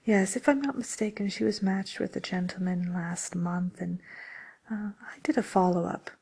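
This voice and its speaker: A woman playing a genteel, businesslike matchmaker for villains in a whispered ASMR role play, murmuring politely and hesitantly in a breathy voice. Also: mid-range voice, moderate pace, a little mellow. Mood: politely helpful.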